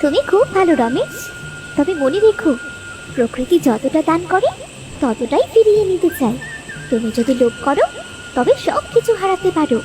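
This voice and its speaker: A woman voicing a gentle, solemn fairy who advises and admonishes in a Bangla cartoon. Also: very high voice, very animated, moderate pace, pauses often, music in the background. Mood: solemn, admonishing. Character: gentle, solemn fairy granting gifts to the honest.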